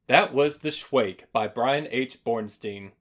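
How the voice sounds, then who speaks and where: A man's voice: mid-range voice, slow pace, fairly bright tone. A man reading a scripted closing announcement as a programme announcer.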